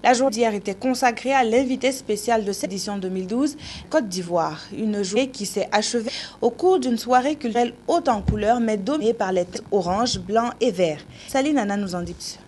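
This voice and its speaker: A middle-aged woman reads the news in a studio, her voice sitting a bit high. She is steady and measured, with a faint hiss under her. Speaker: news anchor. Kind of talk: read news presentation.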